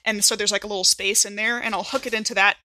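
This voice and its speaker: A woman's voice: medium pitch, steady tone, fast talker, pushed and bright. A woman chatting casually as a player in a tabletop role-playing game, explaining her moves.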